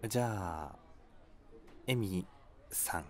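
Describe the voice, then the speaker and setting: A man's voice: sits low, lively intonation, takes it slowly. An actor playing an awkward, flustered young man on a date in an audio drama, polite and stammering. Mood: nervous. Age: young adult. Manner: hesitant, stammering.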